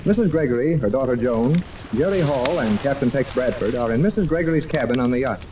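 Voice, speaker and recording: A man's voice: mid-range voice, animated, a little fast. A man narrating a 1930s radio serial as its announcer, in a dramatic, declamatory scripted style. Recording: distant, noisy 1930s radio recording.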